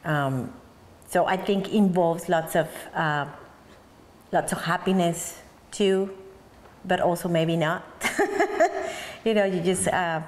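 A woman's voice: on the low side, steady tone, slow, long pauses. A woman from Mexico with a Mexican accent, in her forties, talking thoughtfully and searching for words in an oral history interview. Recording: long hall reverb, faint background noise.

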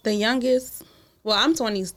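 A woman in her twenties co-hosting a casual chat, blunt and teasing, quick to scold the others. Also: a little high, fairly even intonation. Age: 20s. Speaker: podcast co-host.

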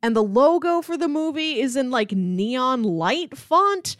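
A woman's voice: high voice, lively intonation, measured pace, fairly bright tone, dry recording, clean studio sound. A woman co-hosting a podcast, explaining things about films in a casual, conversational way. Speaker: podcast co-host.

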